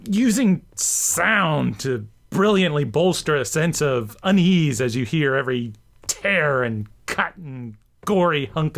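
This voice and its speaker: A man hosting a scripted video essay, narrating with enthusiasm and an emphatic, theatrical delivery. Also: fairly high pitch. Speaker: host and writer.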